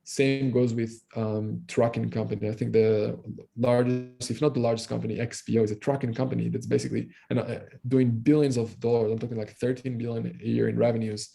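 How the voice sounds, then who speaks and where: A man in his thirties from Israel, a guest talking casually on a video call, fast and loose with many fillers and restarts. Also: medium pitch, level tone, gravelly, dark tone. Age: 30s. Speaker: guest entrepreneur. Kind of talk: casual interview talk. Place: room at home.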